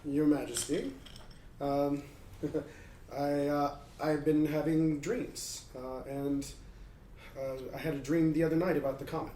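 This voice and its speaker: A man playing a tabletop game, roleplaying casually in a hesitant, halting way. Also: a little high, flat delivery, warm tone. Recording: roomy, distant mic, faint noise.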